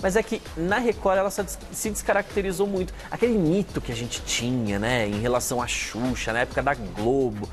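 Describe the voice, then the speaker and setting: A man's voice: mid-range voice, a little fast, hardly any pauses, a little hiss or hum. A Brazilian man in his thirties giving informal, explanatory commentary on a gossip show. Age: 30s.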